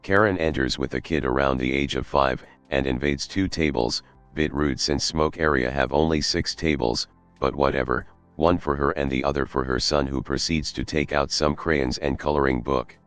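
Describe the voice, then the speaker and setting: A man narrating a Reddit story in a steady, even read. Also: rumbling low, moderate pace, few pauses. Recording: faint background music.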